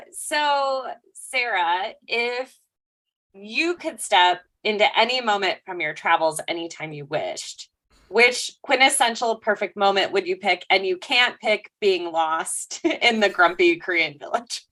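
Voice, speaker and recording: A woman co-hosting a travel podcast in relaxed chat. She jokes easily and asks the questions, in a bright, mid-pitched voice. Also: unhurried, low-quality recording. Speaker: podcast co-host. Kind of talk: casual chat.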